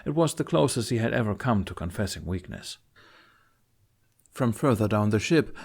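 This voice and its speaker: A man's voice: on the low side, a little mellow. A man reading a fantasy audiobook as scripted narration.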